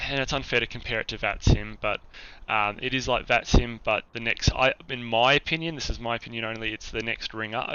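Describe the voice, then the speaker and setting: A young man chatting casually on his flight sim stream, a bit under the weather with a deeper voice than usual, full of fillers and restarts. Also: sharp tone, clean tone, dry recording. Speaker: charity streamer. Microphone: small boom mic. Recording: lo-fi boom mic.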